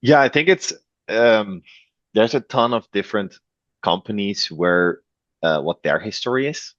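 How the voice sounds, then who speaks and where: A man from the Netherlands with a Dutch accent, talking as a podcast guest, relaxed with many fillers and restarts. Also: on the low side, a little slow, dry recording, highs cut off. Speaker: guest, revenue executive. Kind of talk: podcast interview.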